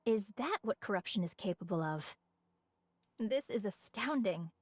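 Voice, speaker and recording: A woman's voice: mid-range voice, animated, unhurried, dry recording. A woman voicing a poised, inquisitive female researcher with hidden menace in a dramatic video game scene. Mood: fascinated. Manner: curious, probing, poised. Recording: music behind the voice.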